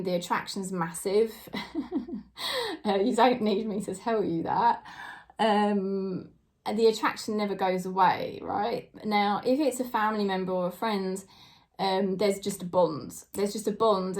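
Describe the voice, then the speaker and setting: A woman in her forties with a British accent, giving a casual tarot reading to camera and talking in a chatty, hesitant way with many fillers and soft laughs. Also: medium pitch. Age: 40s. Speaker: tarot reader.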